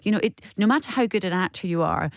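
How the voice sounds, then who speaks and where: A woman's voice: medium pitch, lively intonation, quick pace, dry recording, studio quality. A woman doing a chatty, enthusiastic radio interview as the interviewer.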